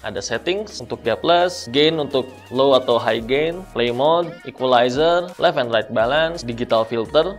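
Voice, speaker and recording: A man's voice: medium pitch, vocal fry. A man with an Indonesian accent presenting a casual gear review, talking informally to viewers as friends. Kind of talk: casual audio gear review narration to camera. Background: background music.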